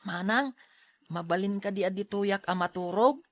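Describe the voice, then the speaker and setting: A woman's voice: medium pitch, normal speed, no room sound, clean studio sound, close to an everyday voice. A woman playing a timid, easily frightened schoolboy with a fretful, whiny delivery in an Ilocano radio drama.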